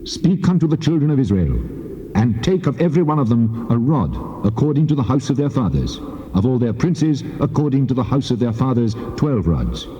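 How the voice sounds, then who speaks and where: God in a bible drama, super dark voice, huge echo, solemn n commanding, muffled tape. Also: male voice, mid-range voice, very animated. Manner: solemn, deliberate, authoritative pronouncements. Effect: heavy cavernous reverb. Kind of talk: dramatized scripture, archaic English. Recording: muffled, reverberant hall, old tape.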